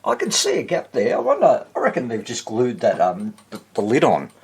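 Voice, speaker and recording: A husky Australian man with plenty of vocal fry and swings in pitch. He talks casually, easygoing and rambling, thinking aloud as he explains a repair. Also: wildly swooping pitch, a little fast. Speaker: host of a vintage radio repair channel. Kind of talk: casual chat to camera about a repair.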